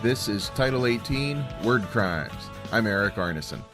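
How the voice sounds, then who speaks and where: A man's voice: on the low side, animated, unhurried, over music. A man hosting a story program, reading a scripted introduction. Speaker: host introducing stories.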